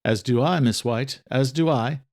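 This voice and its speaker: Man acting a formal, measured male doctor. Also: mid-range voice, a little mellow, close to an everyday voice. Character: formal, troubled male geneticist doctor, pipe smoker.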